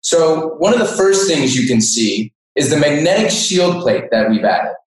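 A man's voice: on the high side, very animated, moderate pace. A man in his twenties with an American accent, a sales engineer explaining things as an interview guest. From Denver, Colorado, United States. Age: 20s. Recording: echoey room, distant microphone.

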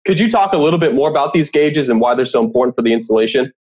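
Man, podcast host, average pitch, polite interviewer, encouraging questions. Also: animated, rapid-fire, a little mellow.

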